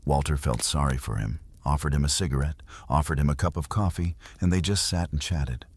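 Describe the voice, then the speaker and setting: A man narrating history in an unhurried, conversational way, as if explaining to a listener. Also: very deep voice, a little hiss or hum. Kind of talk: documentary history storytelling.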